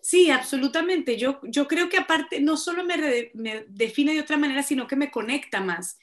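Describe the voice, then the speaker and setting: Laughing Colombian woman, high voice. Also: moderate pace, a bit bright. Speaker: guest singer-songwriter. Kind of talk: radio interview.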